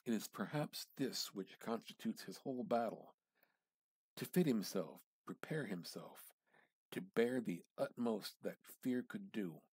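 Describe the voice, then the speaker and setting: A man reads literary fiction aloud at a very slow pace, leaning into dramatic pauses. His voice is fairly low and noticeably husky. The delivery is deliberate and intense. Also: animated, a little mellow, dry recording. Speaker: amateur reader.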